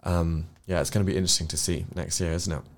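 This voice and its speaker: A man with an English accent speaks in a low register. He talks as a co-host on a news review, setting out his views earnestly. His delivery is conversational and explanatory, with careful hedging. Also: little pitch movement, quick pace. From England. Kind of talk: conversational political discussion.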